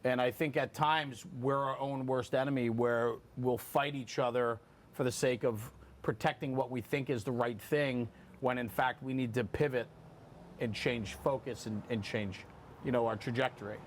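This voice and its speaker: A man's voice: mid-range voice, steady tone, moderate pace. A man hosting a conversational round-table interview, speaking in an earnest, emphatic way. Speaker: podcast host. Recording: clear background room noise.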